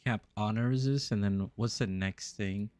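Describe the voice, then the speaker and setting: A man's voice: fairly low pitch, moderate pace, a little mellow, dry recording. A young adult man from the US East Coast, musing aloud and answering chat during a casual game stream. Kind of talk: casual live-stream chat while playing.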